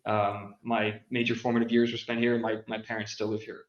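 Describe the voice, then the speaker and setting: A young man answers as a podcast guest, hesitant, with frequent pauses and restarts. The sound is roomy and slightly muffled, as if recorded remotely. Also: on the low side, completely flat delivery. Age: young adult. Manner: hesitant, many ums and restarts. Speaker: guest, international school graduate. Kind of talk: casual podcast conversation.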